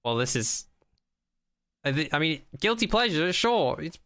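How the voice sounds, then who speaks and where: Bright, clear-voiced skeptical male podcaster. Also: on the high side, big pitch swings, measured pace. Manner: skeptical, opinionated. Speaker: podcast co-host. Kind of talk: casual unscripted podcast chat.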